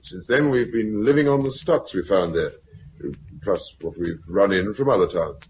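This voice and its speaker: An actor with a British accent playing a smooth, officious, condescending self-appointed commander in a 1950s radio drama. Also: male voice, low voice, normal speed, close to an everyday voice. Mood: coolly authoritative. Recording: muffled, hissy 1950s radio recording.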